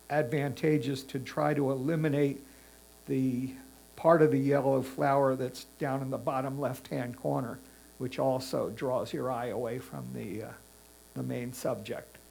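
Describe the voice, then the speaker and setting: A man giving a spoken critique as a photo competition judge, in a measured, tactful manner with hesitant pauses. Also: medium pitch, creaky, a little mellow, a little hiss or hum.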